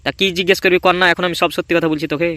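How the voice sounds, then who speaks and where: A man acting as a hot-headed cartoon boy. He talks extremely fast in a high voice, scolding and defiant. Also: flat delivery, pushed and bright, no room sound, studio quality. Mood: defensive, guarded. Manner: defiant, scolding, rapid-fire. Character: hot-headed older boy guarding a secret paper. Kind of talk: amateur comedy cartoon dub.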